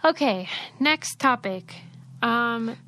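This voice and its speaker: A woman's voice: on the high side, lively intonation, slow pace, pushed and bright. A young woman co-hosting a podcast, telling stories in a chatty, emphatic way. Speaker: podcast co-host. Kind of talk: casual chat with a read ad.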